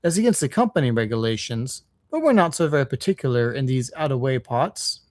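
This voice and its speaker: A man's voice: mid-range voice, lively intonation, brisk, lightly acted. Burly working man voiced by a male reader, storybook engine driver, folksy, kindly, reflective, good-natured. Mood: good-natured bargaining.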